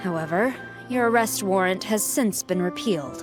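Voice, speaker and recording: An actress playing a stern, dutiful military officer in a video game, formal, composed and businesslike. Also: female voice, medium pitch, moderate pace, over music, lightly acted.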